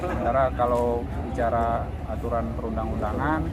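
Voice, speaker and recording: Man, union official, measured legal explanation, outdoors, loud traffic behind. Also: mid-range voice, little pitch movement. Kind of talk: statement to camera. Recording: loud outdoor street noise.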